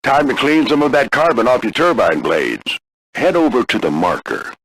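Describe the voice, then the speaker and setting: An older American man voicing a gruff veteran warplane flight instructor in a video game, husky and folksy, coaching firmly but with encouragement. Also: mid-range voice, big pitch swings, normal speed, a bit bright, full character voice, rough recording. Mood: stern instructing. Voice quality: gravelly, husky. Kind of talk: scripted video game character lines.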